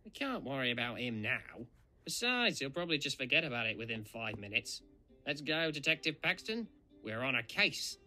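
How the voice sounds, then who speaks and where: A man's voice: medium pitch, big pitch swings, moderate pace, sharp tone, faint music behind, rough recording. A man voicing a vain, boastful red steam engine playing detective in a fan cartoon dub, pompous and huffy. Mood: self-assured. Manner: pompous, huffy, self-assured. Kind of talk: fan comic dub voice acting.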